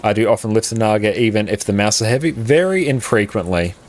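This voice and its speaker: Deep-voiced male streamer, laid-back. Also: fast talker, soft background music. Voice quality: very low voice. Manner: relaxed, rambling, many "like" fillers. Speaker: game streamer. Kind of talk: casual live-stream chat with viewers. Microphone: condenser mic on an arm, compressed.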